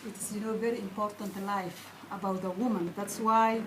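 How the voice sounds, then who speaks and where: A woman's voice: mid-range voice, fairly even intonation, moderate pace, echoey room, distant mic, background noise. A woman from Somalia, a guest on a radio panel who talks earnestly and often restarts her phrases. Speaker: studio guest.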